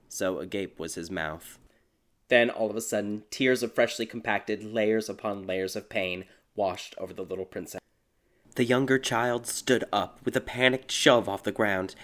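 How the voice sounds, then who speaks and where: Low-voiced man narrating a dark tale. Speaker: audiobook narrator. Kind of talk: dramatic scripted fairy tale narration. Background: music.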